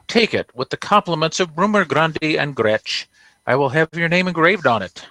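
A man's voice: a little high, expressive, moderate pace, pushed and bright, dry recording, rough recording. A man playing an effusive, formal store boss in a radio comedy, pompous and emphatic. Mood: gracious. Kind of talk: old-time radio comedy acting.

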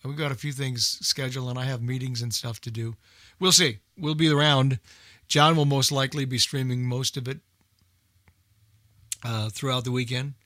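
A man's voice: medium pitch, fairly even intonation, moderate pace, pushed and bright, dry recording, studio quality. A man hosting a radio show, giving casual, rambling unscripted announcements in an informal way.

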